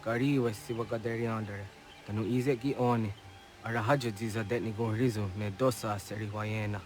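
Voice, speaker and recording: A man's voice: fairly low pitch, steady tone, a little fast, a little mellow, slight background noise, natural voice. An actor playing an earnest young village man in a video game cutscene.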